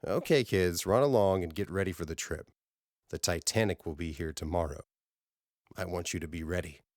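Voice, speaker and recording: Sci-fi audiobook lead: male mission commander read by a man, low-pitched, steady, dry, unhurried authority. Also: dry recording, close to an everyday voice. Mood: matter-of-fact.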